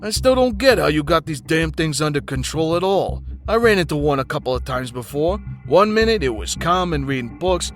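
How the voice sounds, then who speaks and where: A man playing a gruff, impatient tough fighter in a motion comic, slangy and grumbling. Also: on the high side, lively intonation, brisk, hardly any pauses, faint music behind, close to an everyday voice. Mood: grumpy skepticism.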